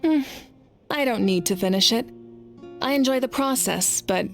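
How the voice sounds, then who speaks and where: A woman voicing a calm, modest and gracious warrior leader in an anime-style video game, speaking in a measured way. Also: fairly high pitch, soft background music, close to an everyday voice.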